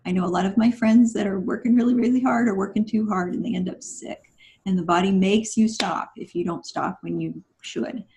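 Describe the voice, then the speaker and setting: A woman's voice: mid-range voice, a little fast, mellow, slight background noise. A woman guest on a podcast, giving conversational advice in an instructive, encouraging manner.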